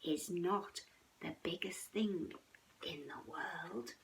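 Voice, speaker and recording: Woman acting a motherly adult female bear, storybook character, gentle, reassuring, soft-spoken, very slow delivery. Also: on the low side, slight background noise, voice pushed down, rough recording. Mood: soft, patient. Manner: soft, soothing, patient.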